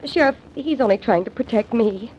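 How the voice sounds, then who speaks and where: A woman's voice: a little high, brisk, darkish tone, a little hiss or hum, lightly acted. An actress playing an anxious young female singer in a 1940s radio drama, speaking hesitantly with many stumbles. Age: young adult. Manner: hesitant, faltering speech. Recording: dull old radio recording.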